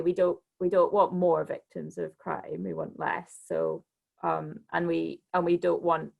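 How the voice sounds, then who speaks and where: Creaky, low-pitched woman on a panel. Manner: halting, restarts sentences often. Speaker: arts organisation director on a panel. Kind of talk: informal panel discussion answer.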